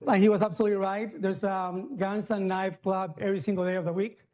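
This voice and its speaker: A man's voice: sits high, fairly even intonation, fairly quick, a little mellow. A middle-aged man from Peru, a surgeon giving a chatty medical lecture, full of fillers and restarts.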